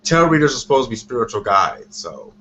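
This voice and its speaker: A man's voice: medium pitch, big pitch swings, fast talker, over a noisy crowd. A man calling in as a guest tarot reader, explaining things earnestly in casual conversation. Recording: dull, noisy internet call.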